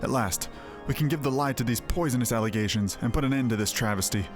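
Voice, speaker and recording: A man plays a commanding, earnest lord from a fantasy game. He speaks in formal, declamatory phrases at a middling pitch, with clear music behind him. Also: natural voice. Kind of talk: fantasy game dialogue read aloud.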